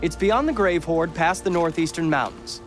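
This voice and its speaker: An actor playing a pampered, excitable teenage noble boy who longs to hunt, in a video game cutscene. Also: normal speed, soft background music, close to an everyday voice. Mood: boastful. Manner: posh, excitable, lively pitch swings.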